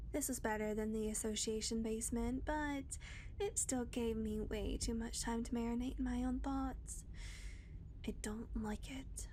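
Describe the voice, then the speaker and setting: A woman voicing a blunt, foul-mouthed female vampire in an audio roleplay, talking sarcastically and dropping into soft murmurs. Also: on the high side, slight background noise, lightly acted.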